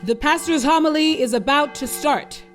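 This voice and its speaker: A woman's voice: high voice, moderate pace, natural voice. A woman voicing a dutiful sister soldier with an obedient, matter-of-fact manner. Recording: music bed under the voice.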